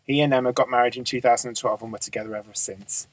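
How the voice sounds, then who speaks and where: A man's voice: mid-range voice, fairly even intonation, dry recording, lightly acted, rough recording. Man acting a businesslike police boss, dry sound. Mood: matter-of-fact. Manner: measured, matter-of-fact, authoritative. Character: businesslike male police boss briefing his detectives. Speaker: actor in a radio drama. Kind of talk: amateur radio drama acting.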